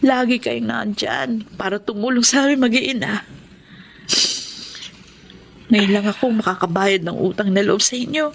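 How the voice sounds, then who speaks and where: A woman's voice: a little high, moderate pace, creaky, long pauses, a little hiss or hum. A woman playing a grateful, caring middle-aged mother in a Filipino radio drama, speaking in a heartfelt, respectful way. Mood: moved, grateful. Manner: heartfelt, earnest, respectful to elders. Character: Minerva, grateful, caring single mother of grown children.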